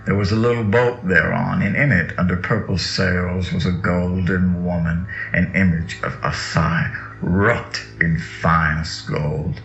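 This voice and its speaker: Male reader, solemn and incantatory, very low and unhurried, echoey recording with music behind. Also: steady tone, gravelly, fairly bright tone. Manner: slow, incantatory, dramatic. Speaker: reader of sacred texts. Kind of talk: solemn scripted reading of mystical scripture. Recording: echoey, dull audio under music.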